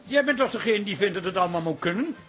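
An actor playing a dry, grumbling middle-aged husband in a radio drama. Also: male voice, high voice, lively intonation, fast, fairly bright tone, faint music behind.

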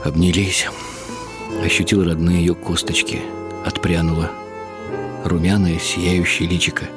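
Deep-voiced wry older man narrating. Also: little pitch movement, measured pace, over music. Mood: tender, anxious. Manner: wry, reflective, clipped phrases. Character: wry elderly husband narrating his hospital life. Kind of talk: dramatised first-person narration.

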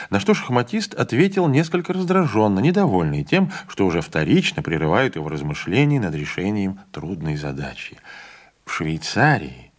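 Man, audiobook narrator, expressive reading, lowish voice, lively intonation.

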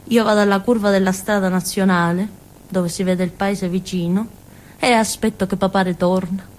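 An actress playing a naive, childlike village simpleton girl with a Calabrian accent, in a halting, repetitive theatre monologue. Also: medium pitch, steady tone, natural voice. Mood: wistful. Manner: simple, repetitive, halting, with questioning tags and giggles. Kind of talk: theatrical monologue in colloquial dialect-tinged Italian.

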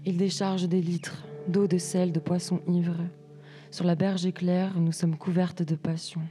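A young woman reading poetry as spoken word in measured, short separated phrases. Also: on the low side, flat delivery, dark tone, soft background music. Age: young adult. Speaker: student writer reading collective texts.

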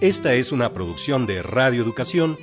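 A man's voice: mid-range voice, sing-song, a little fast. A man reading scripted radio production credits as an announcer. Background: loud string music.

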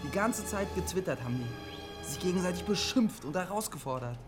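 Awkward stubborn radio loner in his 20s, highish voice, halting, defensive, radio play. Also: lively intonation, music in the background. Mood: hesitant. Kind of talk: German radio drama acting.

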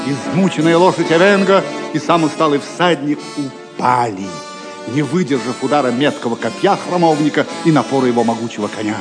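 A man's voice: fairly high pitch, a little fast. A man narrating an audio drama as dramatic scripted storytelling, with long reverb and music behind him.

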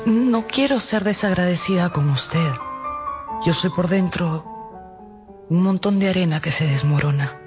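A woman's voice: on the low side, a little slow, lightly acted. Actress as a troubled, yearning lady over music. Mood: contrite, pleading. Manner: hesitant, fervent, confessional. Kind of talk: radio drama acting. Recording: music behind the voice.

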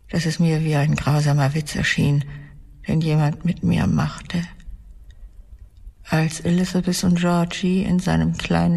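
A cold-blooded woman confessing murder in a radio drama. Her voice is husky and creaky, with slow, deliberate phrasing that slips into breathiness. A long reverb trails every phrase. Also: fairly low pitch, darkish tone, heavily acted. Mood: bitter, controlled. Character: cold, vengeful female murderer confessing her cruel deeds.

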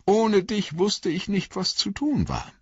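A man's voice: sits high, measured pace, husky, no room sound, lo-fi sound, exaggerated voice. A man reading an audiobook voices a young hobbit who asks eager, earnest questions.

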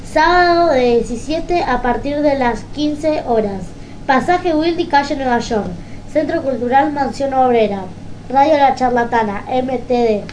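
A woman with an Argentine accent hosting informal community radio, reading announcements haltingly and correcting herself. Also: sits high, a little mellow, slight background noise, low-quality recording.

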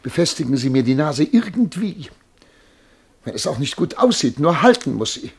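A gravelly, self-important, distressed major. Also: male voice, fairly high pitch, big pitch swings, moderate pace, exaggerated voice. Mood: pleading, indignant. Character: distressed, self-important noseless Russian major, pleading and indignant. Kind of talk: German radio drama acting.

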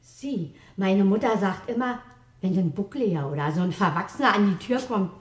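A woman's voice: mid-range voice, lively intonation, normal speed, close to an everyday voice. An actress playing a nosy, superstitious female neighbour with a suspicious, gossipy manner in a radio drama, heard in an echoey space. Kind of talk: German radio drama acting.

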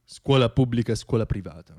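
A man's voice: mid-range voice, expressive, brisk. A man performing a satirical radio theatre monologue in a dramatic, ironic storytelling manner. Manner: dramatic, ironic, shifting between hushed and declaimed.

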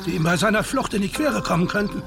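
A man's voice: high voice, expressive, fast, creaky, over music. An actor playing a desperate, pleading swindler who poses as a father, in a German radio play. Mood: anxious warning. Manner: agitated, pleading.

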